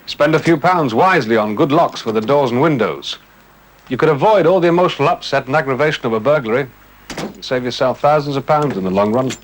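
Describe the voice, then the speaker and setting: Animated British man, colloquial and matter-of-fact. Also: medium pitch, brisk. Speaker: crime prevention film presenter. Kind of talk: conversational scripted advice, 1970s television. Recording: faintly noisy 1970s television soundtrack.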